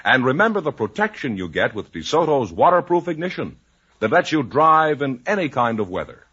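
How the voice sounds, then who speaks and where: A man's voice: medium pitch, sing-song, brisk, fairly bright tone, husky. A man announcing on 1950s radio in an emphatic, polished commercial style. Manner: emphatic, polished sales delivery. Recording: muffled 1950s radio broadcast recording.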